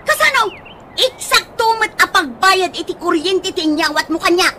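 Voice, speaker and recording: A woman playing a hot-tempered, sharp-tongued nagging wife in an Ilocano comedy radio drama. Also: very high voice, lively intonation, normal speed, heavy background noise. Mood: scolding.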